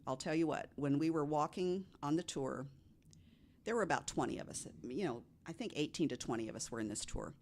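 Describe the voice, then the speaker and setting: A woman telling stories conversationally as a podcast guest, with deliberate, emphatic phrasing. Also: sits low, animated, a little mellow, a little hiss or hum. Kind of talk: conversational storytelling of ghost stories.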